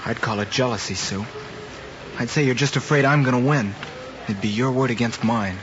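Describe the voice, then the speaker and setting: A man's voice: mid-range voice, normal speed, close to an everyday voice. Guarded young student played by a male actor. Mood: defensive. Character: guarded, troubled student son. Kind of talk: mid-century American screen drama acting. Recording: muffled, noisy old film soundtrack.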